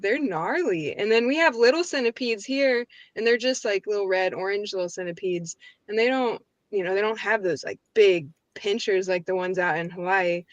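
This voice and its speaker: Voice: mid-range voice, fairly bright tone, dry recording. A speaker telling personal stories casually, in a slangy, rambling way.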